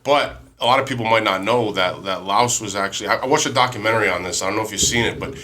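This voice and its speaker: A man's voice: on the low side, quick pace, bright, forceful tone. An American man hosting a podcast in a casual, slangy chat with some swearing. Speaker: podcast host.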